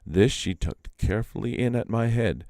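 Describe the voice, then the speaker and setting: A man voicing a gentle, obliging young fawn that explains things plainly and calmly. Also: mid-range voice, moderate pace, no echo, clean studio sound, voice pushed up, natural voice. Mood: calm, explaining.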